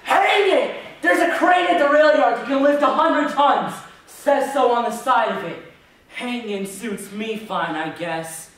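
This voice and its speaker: A man's voice: very high-pitched, a little slow, a bit bright. Young man acting blunt townsman, echoey stage hall. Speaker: actor in a student readers theater group. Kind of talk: dramatic stage storytelling. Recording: very echoey hall, distant mic.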